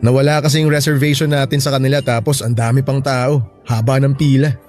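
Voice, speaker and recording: A man's voice: mid-range voice, level tone, a little fast, darkish tone, lightly acted. A man playing a devoted, sentimental boyfriend in an audio love drama, talking in an affectionate, conversational way. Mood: fond, chatty. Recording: music bed behind the voice.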